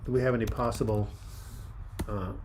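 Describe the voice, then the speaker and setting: Calm, soft-spoken older man. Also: fairly low pitch, moderate pace, a little hiss or hum. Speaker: livestream host. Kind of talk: casual live chat reading viewer comments.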